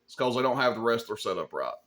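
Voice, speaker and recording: A man with a mid-pitched voice talks through technical hobby advice as a host. He is informal and plain-spoken, states his opinions firmly, and often pauses to check that listeners follow. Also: sing-song, a bit bright. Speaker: host of a CB radio advice channel. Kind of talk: informal hobby tutorial talk.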